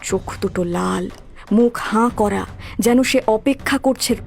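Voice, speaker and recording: A woman's voice: medium pitch, a little slow, gravelly, darkish tone. A woman giving scripted, dramatic narration of a horror story. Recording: background music throughout.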